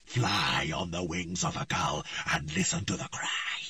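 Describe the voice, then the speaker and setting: A man voicing a cold, hostile, inhuman presence speaking through a young girl, slow and mocking. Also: on the high side, huge pitch swings, creaky, bright, forceful tone, husky, no room sound, distant mic, pitched above the performer's own voice, full character voice. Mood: menacing.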